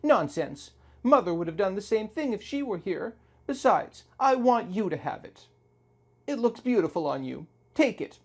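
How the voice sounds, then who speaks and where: A man voicing a gentle young princess, sweet and insistent, in a dramatic reading of a story. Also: high voice, sing-song, normal speed, gravelly, pitched above the performer's own voice, exaggerated voice.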